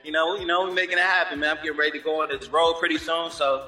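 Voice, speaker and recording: A man's voice: on the high side, flat delivery, very fast, pushed and bright. An American man chatting casually as an interview guest, upbeat and slangy, often adding "you know what I'm saying.". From born in Germany, moved around the United States. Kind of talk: casual chat. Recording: echoey roomy sound with long reverb, music behind.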